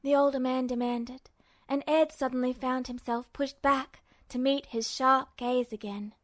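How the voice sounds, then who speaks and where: A woman with a high voice narrates fiction from a script. The recording is very dry, with the sound of a story read aloud for listeners. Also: fairly even intonation, a little slow, fairly bright tone, no echo. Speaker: podfic narrator.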